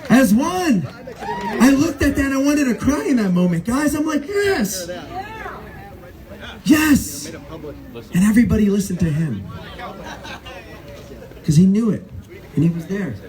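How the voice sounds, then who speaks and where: A man emceeing a rally, speaking with mounting passion and building to loud climaxes. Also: sits high, very animated, a little slow, pauses often. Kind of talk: rally speech and introduction. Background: crowd.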